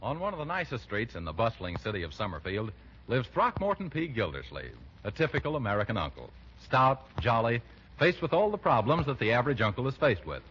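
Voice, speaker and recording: A man's voice: medium pitch, very animated, a little fast. A man announcing and narrating a 1940s radio show from a script, with polished, emphatic delivery. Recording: dull old radio broadcast, loud music. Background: loud theme music.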